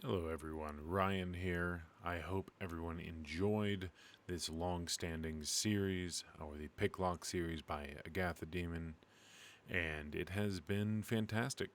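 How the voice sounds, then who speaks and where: A man's voice: low voice, steady tone, unhurried. A man speaking casually to his listeners as the voice actor hosting an audio channel.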